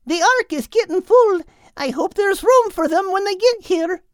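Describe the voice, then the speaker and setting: Here is a sweet little female seagull from a storybook, played by a man. The voice is extremely high and leaps about in pitch, excitable and affectionate. It is captured close and dry. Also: normal speed, no room sound. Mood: eager.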